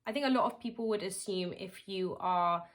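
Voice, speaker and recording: A woman's voice: medium pitch, fairly quick. Confident woman giving her view. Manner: confident, opinionated. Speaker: interviewee.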